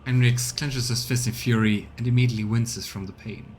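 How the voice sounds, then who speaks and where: A man's voice: mid-range voice, steady tone, fairly quick, darkish tone. A man streaming a let's play, reading out the game's narration and adding his own casual commentary. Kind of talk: game commentary and narrated reading of on-screen text.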